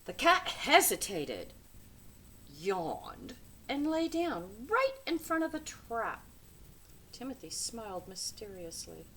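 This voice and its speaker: Animated, unhurried woman reading a children's story. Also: a little high, slight background noise, rough recording. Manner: dramatic, emphatic phrasing for young listeners. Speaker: read-aloud storyteller. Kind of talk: expressive children's storybook narration.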